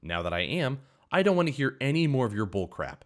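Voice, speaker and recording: A man's voice: fairly low pitch, big pitch swings, moderate pace. A man reading as a stern young woman boss. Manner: stern, lecturing. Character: young woman restaurant owner, firm and fed up.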